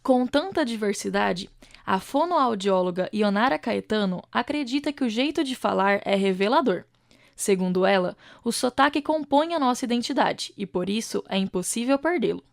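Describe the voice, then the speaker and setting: Gravelly woman reading news voice-over. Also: medium pitch, animated, dry recording, studio quality. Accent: Brazilian Portuguese. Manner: measured, clear reading. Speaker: student news reporter. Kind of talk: scripted news narration.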